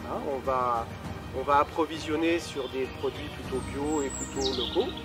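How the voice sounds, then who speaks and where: A man's voice: medium pitch, fairly even intonation, normal speed, over loud music. A man, giving an informal testimonial as a volunteer event organiser, hesitantly searching for his words. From Paris, France. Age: 60s.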